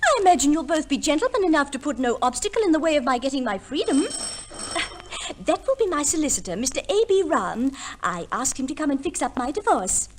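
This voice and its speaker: Posh wife in old 50s radio comedy, high voice, very up and down, airy and bossy. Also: female voice, big pitch swings, brisk, slight background noise. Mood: haughty, brisk. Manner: airy, theatrical, imperious. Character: breezy, self-absorbed upper-class English wife with two husbands. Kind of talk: 1950s radio comedy play acting.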